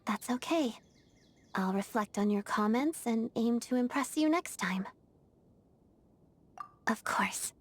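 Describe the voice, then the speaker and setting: A woman voicing an earnest, disciplined young ballet dancer in a video game, speaking in a composed, formal and measured way. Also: fairly high pitch, lively intonation, a little hiss or hum. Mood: earnest, polite. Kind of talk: video game character voice acting.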